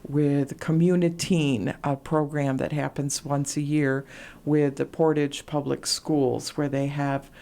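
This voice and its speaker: A middle-aged woman, a radio host talking as a guest and sharing stories in relaxed conversation, with fillers. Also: low-pitched, slow pace. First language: Latvian. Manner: warm storytelling, reflective, frequent fillers. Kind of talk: casual interview chat.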